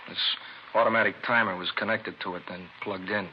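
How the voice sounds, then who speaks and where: Guy doing an arson squad investigator, lowish voice, explains in short plain phrases, muffled old radio sound. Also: steady tone, fairly quick, natural voice. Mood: explanatory. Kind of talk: 1950s radio crime drama acting. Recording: dull, faintly noisy vintage radio recording.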